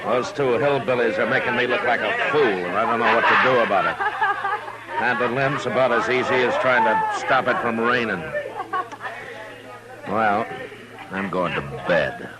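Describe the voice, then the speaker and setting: An actor playing a firm, weary Old West marshal in a 1950s radio western, terse, dry and authoritative. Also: male voice, mid-range voice, moderate pace, over a noisy crowd, natural voice. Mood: weary, wry. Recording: noisy vintage radio recording.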